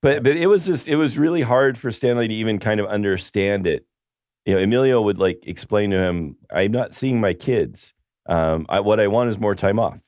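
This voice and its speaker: A man co-hosting a casual film podcast, rambling through a story with many restarts, his voice going hoarse. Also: fairly low pitch, normal speed, darkish tone, no room sound, clean studio sound. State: losing his voice. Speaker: podcast co-host.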